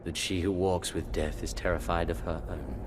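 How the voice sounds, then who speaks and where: An actor playing a cold, menacing imperial overlord in a video game, speaking in measured, formal, archaic phrases. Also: male voice, low voice, fairly even intonation, background noise, lightly acted. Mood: cold contempt. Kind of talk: video game cutscene acting.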